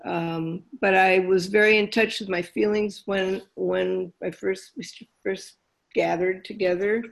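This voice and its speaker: A person sharing in a support group, reading aloud from written notes in a hesitant, halting way with many fillers. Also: medium pitch, level tone, creaky.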